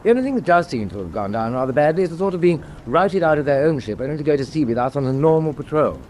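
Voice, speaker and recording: An actor playing a courteous British naval first lieutenant with a British accent and brisk manner in a radio drama. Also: male voice, on the high side, sing-song, a little mellow. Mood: respectful. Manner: brisk, polite, officer-like. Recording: faintly noisy radio drama recording.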